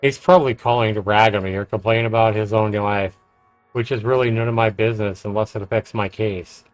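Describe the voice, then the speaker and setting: A male game streamer with a slightly low voice reads visual novel narration aloud. His delivery is measured, mixed with casual commentary, over soft game music. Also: steady tone, no room sound, rough recording.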